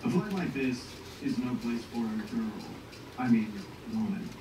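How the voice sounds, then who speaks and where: A man's voice: medium pitch, lively intonation, measured pace, mellow, natural voice. A man reading the part of a stubborn, officious space pilot hero at a retro sci-fi table read. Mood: dismissive. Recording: echoey room, distant mic, clear noise.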